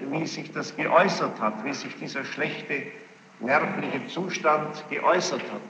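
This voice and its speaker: A man questioning a witness as a judge in formal courtroom style, insistent and deliberate, repeating answers back. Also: a little high, creaky, a crowd in the background. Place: large echoey courtroom, archive tape. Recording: muffled old tape, echoey hall, hiss.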